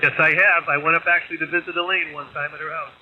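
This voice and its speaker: A man's voice: fairly high pitch, level tone, fast talker, dull audio. A man talking casually as an amateur radio operator, matter-of-fact and courteous, over a radio link. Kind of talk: casual radio signal report. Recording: ham radio signal, faint static. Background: faint radio noise.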